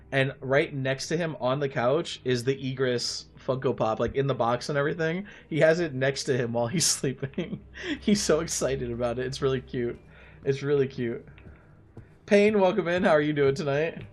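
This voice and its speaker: Casual man livestreaming over music. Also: mid-range voice. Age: late thirties. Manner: friendly, chatty, thinks aloud. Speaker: live streamer playing a game. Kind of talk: casual livestream chat with viewers while playing.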